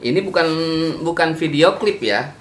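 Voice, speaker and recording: A man's voice: fairly high pitch, lively intonation, normal speed, slight background noise. Man hosting a casual chat, echoey. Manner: explaining, hesitant fillers, quizzing. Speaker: host. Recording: echoey room with faint music.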